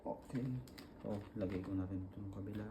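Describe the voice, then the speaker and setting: A man with a Filipino accent giving a casual hands-on tutorial, talking informally and haltingly as he works through each step. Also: low voice, level tone, noisy background. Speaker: vlogger demonstrating a product installation.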